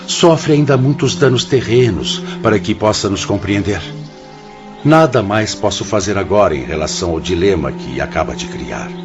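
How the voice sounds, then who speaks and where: A grave, low-voiced paternal spirit mentor. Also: male voice, dull audio. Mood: weary disapproval. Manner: solemn, formal, admonishing. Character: grave, paternal male spirit mentor, patient but stern. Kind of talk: radio drama acting.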